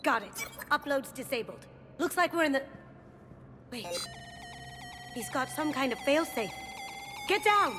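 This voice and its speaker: An actress playing a spirited, sassy young thief in a video game, with a playful manner. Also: female voice, high voice, animated, unhurried, pauses often, over loud music. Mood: agitated. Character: cheeky, spirited young female master thief.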